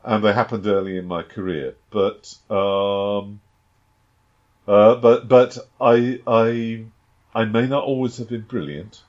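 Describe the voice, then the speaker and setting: A man with a fairly low voice chats casually as a game master, stumbling over restarts and fillers. His humour is dry and self-deprecating. The recording sounds slightly dull and lo-fi. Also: level tone, slow, darkish tone. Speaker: game master and podcast co-host. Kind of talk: casual chat and tabletop game setup.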